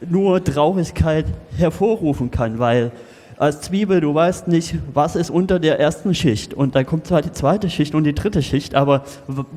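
A man voicing a sorrowful, halting vegetable character in an improvised comedy radio play. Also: a little high, dark tone, close to an everyday voice. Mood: mournful. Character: sorrowful former onion turned kohlrabi, melancholy vegetable. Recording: echoey hall with long reverb.

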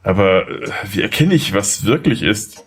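A man's voice: lowish voice, lively intonation, moderate pace, husky. A man playing a bewildered, anxious lawyer who doubts his own mind, in a questioning, unsettled way, in an audio drama. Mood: confused. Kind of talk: German audio drama acting.

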